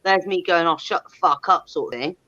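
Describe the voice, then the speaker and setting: A woman's voice: lowish voice, brisk, sharp tone, lo-fi sound. A woman, chatting candidly in a blunt, sweary, emphatic way. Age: 30s. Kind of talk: candid casual chat.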